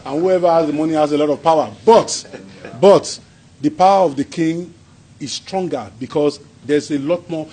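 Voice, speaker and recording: A man with a Yoruba-accented Nigerian English voice, a traditional king speaking informally to an audience in a hall, good-humoured and quick to chuckle as he repeats his phrases. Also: on the high side, a little mellow. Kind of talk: informal speech and Q&A answers.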